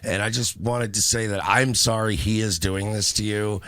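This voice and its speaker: A man's voice: lowish voice, steady tone, moderate pace, a bit bright, clear, resonant tone, dry recording. A man co-hosting a podcast who talks hesitantly with frequent ums and uhs. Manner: hesitant, lots of uh and um. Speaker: podcast co-host. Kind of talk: casual podcast banter.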